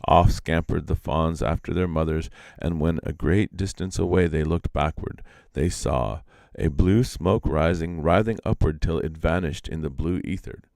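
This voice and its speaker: Male storyteller, deep pitch, gravelly fry, expressive storybook narration, dry close sound. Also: moderate pace, no room sound. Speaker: storyteller, reader. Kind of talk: expressive storybook narration, read aloud live.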